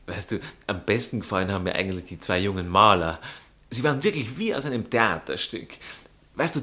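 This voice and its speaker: A man's voice: lowish voice, bright, forceful tone. A man playing a snobbish, narrow-minded baron in a satirical comedy, speaking with an Austrian accent and a condescending, colloquial drawl. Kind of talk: satirical stage comedy acting.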